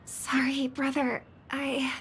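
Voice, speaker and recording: A woman voicing a gentle, caring young sister in a video game, speaking in a soft, earnest, polite way. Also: high voice, monotone, slow, slight background noise. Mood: soft, regretful. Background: game music under the voice.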